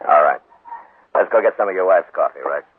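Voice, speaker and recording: An actor playing a firm, shrewd American frontier marshal in a 1950s radio western, dry and commanding, with a husky voice. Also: male voice, low-pitched, animated, fairly quick, no room sound. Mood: quiet, weary. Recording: 1950s radio broadcast, narrow tinny sound.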